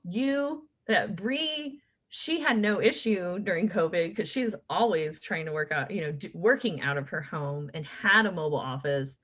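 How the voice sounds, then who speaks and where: A woman in her late thirties hosting a podcast, talking in a chatty, animated way with many fillers. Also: fairly low pitch, moderate pace, few pauses. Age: late 30s. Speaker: podcast host. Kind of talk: casual chat.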